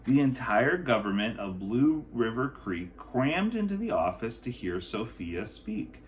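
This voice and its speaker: A man, a teacher, reading a children's picture book aloud in an expressive storytelling style. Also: mid-range voice, a little slow, slight background noise.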